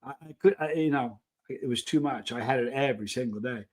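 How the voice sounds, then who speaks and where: A middle-aged man hosting a live stream, chatting casually and telling anecdotes in an animated way, acting out the things people said. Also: mid-range voice, a little fast. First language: English. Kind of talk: casual chat answering live viewers, telling travel anecdotes.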